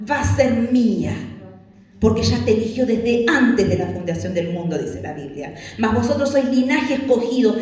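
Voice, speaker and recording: Argentine lady preacher, acts out little scenes, warm voice, big churchy echo. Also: fairly high pitch. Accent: Rioplatense Spanish. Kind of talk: sermon. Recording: echoey church hall.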